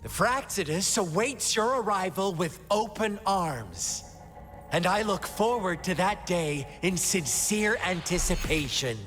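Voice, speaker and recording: An actor playing a sinister, mocking cult overseer in a video game, speaking in a theatrical, smugly courteous way. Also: male voice, high voice, animated, a little slow, a bit bright, in a large hall, faint music behind. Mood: grandly enticing. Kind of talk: dramatic video game voice acting.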